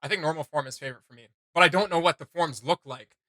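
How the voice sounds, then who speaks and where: A man hosting a video game reaction show, chatting casually in a rambling, excitable, slangy way. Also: fairly high pitch, fast, no echo, clean studio sound.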